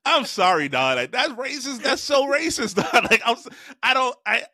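A man's voice: very high-pitched, moderate pace, dry recording, studio quality. A man co-hosting a podcast in loose casual banter, swearing often and laughing between lines. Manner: animated, swearing, laughing a lot. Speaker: podcast co-host.

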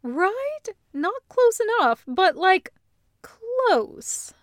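A woman voicing a caring, foul-mouthed heroic sister in a story, talking casually and emphatically with halting self-corrections. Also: extremely high pitch, sing-song, dry recording, studio quality, voice pushed up, exaggerated voice. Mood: eager.

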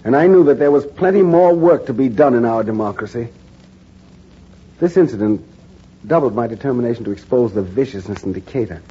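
An actor playing a determined undercover newspaper reporter who narrates in an earnest, measured way, in 1940s radio acting. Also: male voice, medium pitch, expressive, breathy edge. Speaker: narrator and lead. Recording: dull old radio recording with music.

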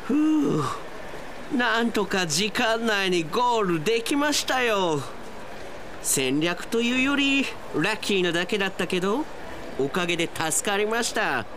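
A man's voice: animated, a bit bright, slight background noise. Romes, a man doing a lilting foreign runner. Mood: relieved. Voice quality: odd foreign-sounding lilt, stretched drawn-out vowels. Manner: swooping high exclamations. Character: earnest young male foreign runner, sing-song speech. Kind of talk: comic radio drama acting.

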